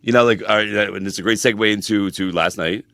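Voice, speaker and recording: A man's voice: on the low side, pushed and bright, dry recording, studio quality. A man co-hosting a podcast in casual chat, rambling with lots of "freaking" and "bro". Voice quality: fast, rambling, uses "freaking" and "bro" a lot. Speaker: podcast co-host, personal trainer.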